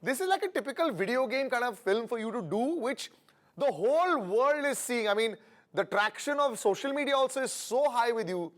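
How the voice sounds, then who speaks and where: High-voiced chatty male interviewer. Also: animated, brisk, fairly bright tone. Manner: chatty, long rambling questions with fillers. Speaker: entertainment interviewer. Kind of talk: casual interview questions.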